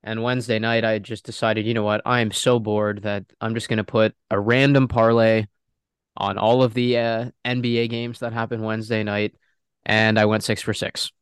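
A man's voice: lowish voice, flat delivery, moderate pace, smooth, dry recording, clean studio sound. A young man who is feeling ill, co-hosting a casual sports podcast in an easygoing, confident way. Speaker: podcast co-host.

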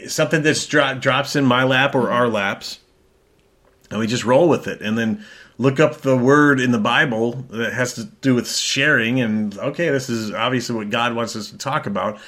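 Bright-voiced rambling man hosting. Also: mid-range voice, normal speed. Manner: rambling, self-correcting. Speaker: podcast host. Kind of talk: casual chat.

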